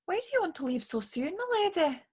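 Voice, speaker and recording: A woman's voice: very high-pitched, big pitch swings, fast, a little mellow. A woman playing a sassy, sarcastic lady's maid, loyal but teasing, in a radio play. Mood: questioning. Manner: sarcastic, needling.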